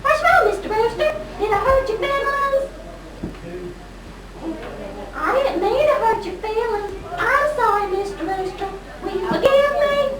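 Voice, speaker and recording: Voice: very high voice, normal speed, few pauses, over a noisy crowd. A performer voicing an earnest childlike puppet, eager to please, in a church children's puppet show. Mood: apologetic. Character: Red, earnest childlike puppet who wants to please Jesus. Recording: echoey hall from far, audience noise.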